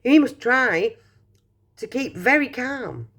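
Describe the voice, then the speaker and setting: A woman reading a children's storybook aloud, voicing a calm, caring mother who speaks gently. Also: a little high, slow, vocal fry, voice pushed up, heavily acted. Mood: soothing.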